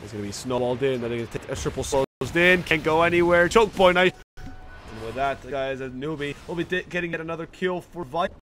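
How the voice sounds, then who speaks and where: A man commentating a video game match on his own from a home setup into a desk mic, talking fast and restarting phrases. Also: a little high, fairly bright tone, a little hiss or hum. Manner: rapid, self-correcting, restarts mid-phrase. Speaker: esports commentator. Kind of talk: solo play-by-play game commentary. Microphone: desk microphone.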